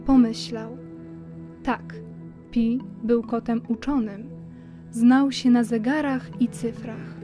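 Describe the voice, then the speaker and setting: Higher-pitched woman narrating over music. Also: fairly even intonation, slow, mellow. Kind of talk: scripted children's story narration.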